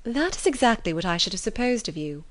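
A woman voicing a quick-witted, composed young lady in an audiobook, with an arch, articulate manner. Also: mid-range voice, big pitch swings, a bit bright. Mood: playful. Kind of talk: audiobook character voice.

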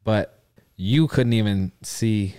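A man's voice: on the low side, slow pace, warm tone, clean tone, no echo, studio quality. A man co-hosting a podcast, asking questions in a searching, stammering way with many restarts. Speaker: podcast co-host. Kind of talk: conversational interviewing.

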